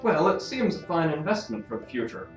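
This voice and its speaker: A man playing a formal, calculating council master who speaks in measured, diplomatic phrases in a science-fiction audio drama. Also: fairly high pitch, sing-song. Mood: calm, persuasive. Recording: distant, echoey, slightly dull, music behind.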